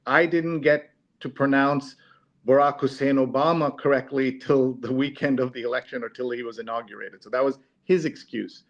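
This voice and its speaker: A man's voice: mid-range voice, steady tone, moderate pace, lo-fi sound. A man hosting a live discussion show, talking casually to his audience.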